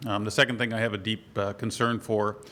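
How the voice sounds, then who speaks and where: A man running for office, speaking brisk and assertive at a forum, his voice a bit scratchy from a cold. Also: on the low side, level tone, sharp tone. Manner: brisk, assertive, rushes then slows down. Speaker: political candidate. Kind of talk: candidate forum statement.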